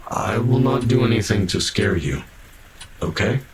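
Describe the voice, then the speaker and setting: Man playing a deep, gentle old cyborg guardian. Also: sing-song, very noisy. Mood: gentle, apologetic. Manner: halting, careful, softly formal. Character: gentle centuries-old half-man, half-machine guardian, awkwardly kind. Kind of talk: fan audio drama acting.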